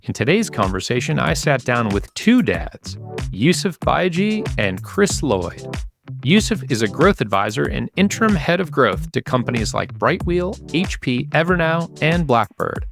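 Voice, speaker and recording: A man's voice: mid-range voice, very animated, measured pace, vocal fry, over music. A man hosting a podcast and interviewing guests in a conversational, presenterly way.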